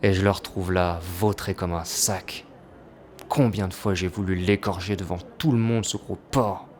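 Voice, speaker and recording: Male actor as jaded crime boss, contemptuous, crude, fairly low voice, audio drama, faint ambient noise. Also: fairly even intonation, moderate pace, darkish tone, natural voice. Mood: bitter contempt.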